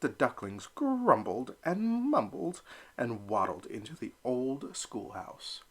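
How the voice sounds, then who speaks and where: Slow, animated male storyteller. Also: mid-range voice, breathy edge. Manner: expressive and animated. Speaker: teacher reading aloud. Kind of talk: children's read-aloud narration.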